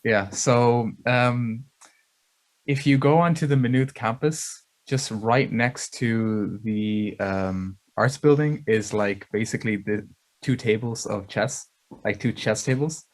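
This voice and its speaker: Young man, medium voice, relaxed, hesitant, many fillers, video call. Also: mid-range voice, takes it slowly. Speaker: student panelist. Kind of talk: casual webinar chat.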